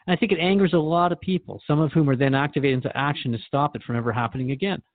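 A man's voice: on the high side, rapid-fire, phone-quality sound, natural voice. A man playing an earnest, thoughtful radio host who interviews in a reflective, conversational and sometimes hesitant way. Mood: earnest, troubled.

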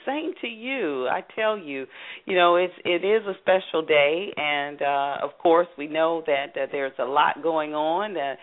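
A woman hosting an interview show, chatty with many fillers, heard over the phone. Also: deep voice. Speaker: host. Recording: phone line, narrow band.

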